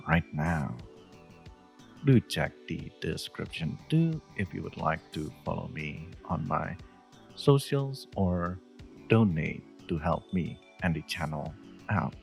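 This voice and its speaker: A man's voice: sits low, lively intonation, unhurried, creaky, darkish tone, faint music behind. A man speaking as an audio creator, giving a casual scripted outro to his listeners.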